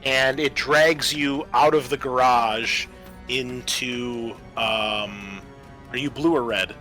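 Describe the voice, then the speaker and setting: A man's voice: mid-range voice, steady tone, slow, bright, forceful tone, faint music behind, rough recording. A man narrating a tabletop horror roleplaying game as game master, casual and prone to swearing.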